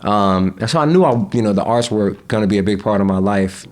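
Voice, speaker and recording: A young man chatting casually as a podcast guest, relaxed, with frequent laughs and fillers. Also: on the low side, quick pace. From United States.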